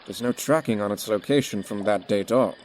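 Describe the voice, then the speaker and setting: A man playing a serious, measured business advisor in an audio drama, speaking precisely. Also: on the low side, a little mellow, a little hiss or hum, close to an everyday voice.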